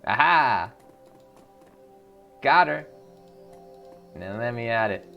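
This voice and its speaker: A man's voice: mid-range voice, expressive, slow, vocal fry, highs cut off. A man playing through a video game as a streamer, reading its narration aloud and commenting. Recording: music behind the voice.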